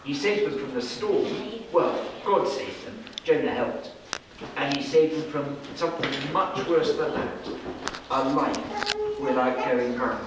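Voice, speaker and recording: A middle-aged man reading a story aloud to children in a dramatic, deliberate way, with pauses. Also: medium pitch, a crowd in the background. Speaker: storyteller narrating a children's puppet show. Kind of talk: animated read-aloud Bible story narration. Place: indoor children's classroom, seated before small children. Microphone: distant camera microphone. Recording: echoey, noisy room, recorded from far off.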